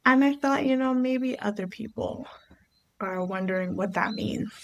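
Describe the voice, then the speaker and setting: A woman from Barbados hosting her own podcast, talking through her thoughts in an unhurried, reflective way. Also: a little high, gravelly, dull audio, slight background noise. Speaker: podcast host. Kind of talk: reflective solo podcast monologue.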